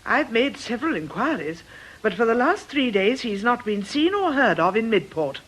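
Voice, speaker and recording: Fretful deaconess, lively, husky voice. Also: female voice, a little high, moderate pace, full character voice, low-quality recording. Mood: worried, hesitant. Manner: hesitant, proper, apologetic. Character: earnest, fretful church deaconess, polite and hesitant. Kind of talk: radio drama acting.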